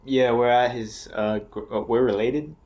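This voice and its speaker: A man's voice: mid-range voice, steady tone, a little slow, darkish tone, dull audio. A man with an American accent hosting a podcast from a house, talking in loose, profane banter. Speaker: podcast host. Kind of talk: casual chat. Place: grandparents' house, indoors.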